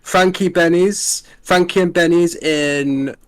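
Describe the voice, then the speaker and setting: A young Scottish man chatting casually on a tier-list panel, rambling and animated, swearing freely and restarting his sentences. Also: fairly high pitch, slow pace, clean tone. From Scotland. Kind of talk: casual chat.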